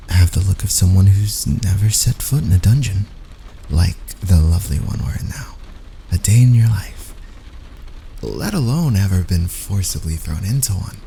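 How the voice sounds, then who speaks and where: Male actor as a teasing young fantasy prisoner, intimate ASMR, low creaky voice, breathy playful asides, unhurried, faint background noise. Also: warm tone, exaggerated voice. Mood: teasing, smug. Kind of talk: intimate ASMR boyfriend roleplay.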